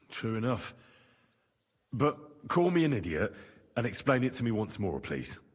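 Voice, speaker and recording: An actor playing a plain-spoken, eager young medieval man-at-arms in a video game, husky, earnest and gallant. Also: lowish voice, big pitch swings, a little slow, long reverb tail, heavily acted. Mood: exasperated. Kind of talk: scripted game dialogue.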